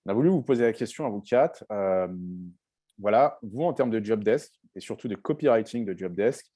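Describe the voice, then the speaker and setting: A French man hosting a panel discussion in a warm, mellow, fairly low voice. He talks loosely and hesitantly, restarting phrases and filling pauses as he introduces each topic. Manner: relaxed, hesitant, with frequent fillers and restarts. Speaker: webinar host. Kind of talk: hosting a live panel webinar.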